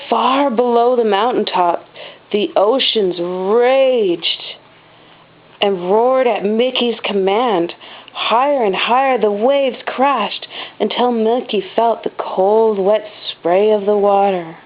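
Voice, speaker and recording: A woman reading a children's storybook aloud as a mother does at home, with expressive stress on the dramatic words. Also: medium pitch, slow pace. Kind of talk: storybook read-aloud narration. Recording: dull, lo-fi home recording.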